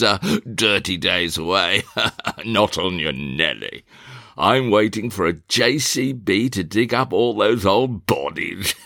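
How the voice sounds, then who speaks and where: A man voicing a gruff, blustering older country squire who chuckles to himself, in a one-man reading of a stage play. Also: lowish voice, pushed and bright, no room sound, studio quality, full character voice.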